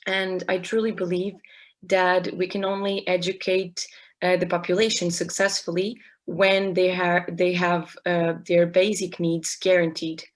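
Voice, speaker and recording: A young woman speaking as a guest on a podcast, talking about her work in health education with many pauses, fillers and restarts. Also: on the low side, level tone. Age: young adult. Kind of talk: casual interview talk.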